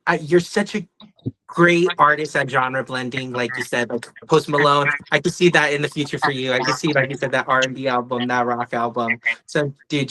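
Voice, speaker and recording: A man's voice: medium pitch, sharp tone, poor audio. A man hosting a casual interview, speaking in a supportive, encouraging way to his guest. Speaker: podcast host, interviewer.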